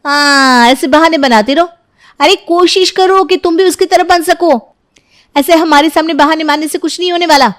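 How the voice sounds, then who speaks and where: A woman's voice: very high voice, moderate pace, fairly bright tone, pitched above the performer's own voice. A woman voicing an envious, nagging mother and daughter-in-law in a moral story, scolding and complaining.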